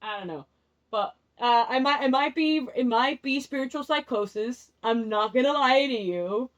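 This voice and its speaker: A young woman with a slightly high voice gives a rambling, candid tarot reading, circling back and restarting her thoughts. Spanish is her first language. The recording sounds a little dull and lo-fi. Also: normal speed. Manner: rambling and candid, restarts often, laughs at herself. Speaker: tarot vlogger.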